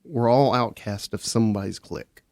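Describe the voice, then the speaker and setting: A man's voice: on the low side, normal speed, creaky, a little mellow, no room sound. A man guest on a casual podcast, telling long stories with many restarts. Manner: rambling, self-interrupting storyteller.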